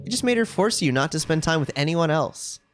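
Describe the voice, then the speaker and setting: A man's voice: fairly high pitch, expressive, fairly quick, lightly acted. A man voicing a sweet, possessive, self-aware schoolgirl from a video game in a chatty, cutesy, confiding way. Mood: casual confession. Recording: music playing behind the voice. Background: game music.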